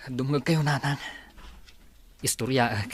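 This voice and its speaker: A man's voice: medium pitch, slow, husky, dry recording. An actor playing a polite, downcast son apologising to his mother in a radio drama. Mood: apologetic, downcast. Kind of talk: Ilocano radio drama acting.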